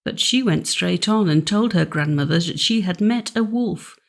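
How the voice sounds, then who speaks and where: A woman narrating a fairy tale audiobook in a measured, expressive storytelling manner. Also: fairly low pitch, creaky.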